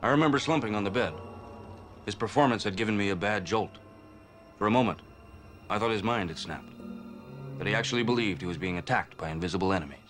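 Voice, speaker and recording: A man's voice: lowish voice, quick pace, long pauses, music in the background, natural voice. An actor playing a shaken man recalling a disturbing episode in dramatic first-person narration. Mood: uneasy, subdued.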